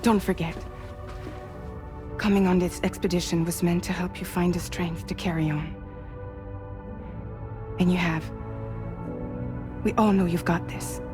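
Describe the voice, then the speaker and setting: An actress playing a composed woman who leads a ship's crew, speaking in a measured, instructing way in a game cutscene. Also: fairly low pitch, fairly even intonation, music in the background, lightly acted. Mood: earnest, encouraging. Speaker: expedition leader.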